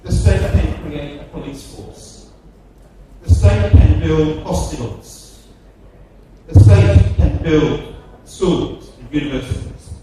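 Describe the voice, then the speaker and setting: An Australian man giving a seminar lecture, speaking slowly with emphatic, repetitive phrasing and heavy stresses. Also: fairly high pitch. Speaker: guest lecturer. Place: large lecture hall. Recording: very echoey hall from far off, noisy.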